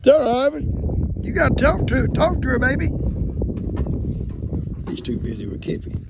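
A man, hoarse from losing his voice, chatting and teasing his farm animals outdoors into a phone held at arm's length. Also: extremely high pitch, wildly swooping pitch, normal speed, heavy background noise. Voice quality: gravelly. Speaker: farm vlogger. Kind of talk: casual talk to animals and camera. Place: outdoors under a shade tree on a farm.

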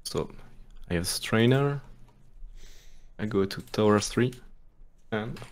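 A man's voice: fairly low pitch, animated, slow. A man from France with a French accent, explaining a game speedrun in hesitant live commentary full of restarts. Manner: hesitant, many restarts and fillers, apologetic.

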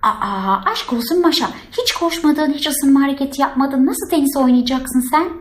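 A woman's voice: high voice, expressive, moderate pace. A woman voicing an encouraging but chiding young tennis teacher doll in a children's toy story, coaxing with pet names. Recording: roomy indoor echo.

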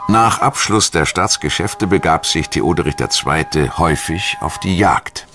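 A man narrating a scripted history documentary in a measured, articulate storytelling manner. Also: sits low, a bit bright, faint music behind.

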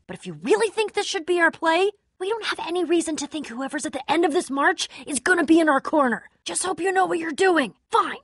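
A woman playing a feisty, sardonic young warrior in a video game, with a wry, expressive delivery. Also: very high-pitched, brisk, few pauses, dry recording, clean studio sound. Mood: wary. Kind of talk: animated game voice acting.

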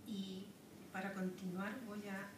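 Chilean woman reading her poems real slow at a podium, far-off camera mic, echoey room, some hiss. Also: mid-range voice, little pitch movement. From southern Chile. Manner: slow, measured recitation with pauses between lines. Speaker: poet. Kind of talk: poetry reading with spoken asides. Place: wood-paneled lecture room, standing at a podium. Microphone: camera far across the room. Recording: roomy, distant, noisy room recording.

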